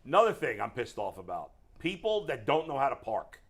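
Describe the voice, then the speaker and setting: A middle-aged man with a deep voice and a New York accent, ranting in casual chat as a sports radio co-host. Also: fairly quick, vocal fry, breathy edge. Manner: animated, exasperated ranting.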